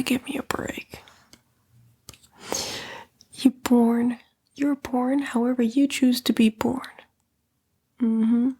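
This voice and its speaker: Woman playing a slow, breathy whispering demon temptress. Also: on the high side, darkish tone, exaggerated voice. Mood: dismissive. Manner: coaxing, condescending, unhurried. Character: female demon, a fallen angel, coaxing and vain tempter. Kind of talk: whispered ASMR roleplay.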